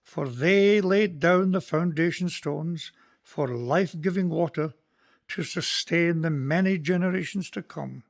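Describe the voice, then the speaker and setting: Guy doing a scottish minister in a radio play, slow and gravelly, kind and earnest. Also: a little high. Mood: prayerful. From Scotland. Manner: measured, sermon-like storytelling. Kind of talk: community radio play monologue.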